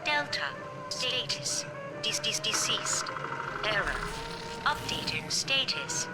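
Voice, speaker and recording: A woman's voice: fairly high pitch, sing-song, takes it slowly, loud music behind the voice. A woman voicing a glitching security computer in a video game, making impersonal announcements with stuttering, repeated syllables. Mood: impersonal, malfunctioning. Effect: glitchy machine stutter.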